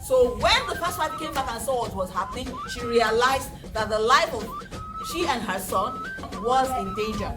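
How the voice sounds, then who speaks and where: Loud music plays around a slightly distant voice. A Nigerian woman tells a folktale to children in a high, swooping voice. Her delivery is dramatic and interactive, like an educator drawing young listeners in. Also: moderate pace. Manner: animated, dramatic, asks listeners questions.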